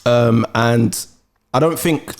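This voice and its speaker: A man's voice: medium pitch, slow pace. London man hosting a casual podcast. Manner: slangy and informal. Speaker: podcast host. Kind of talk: casual interview chat.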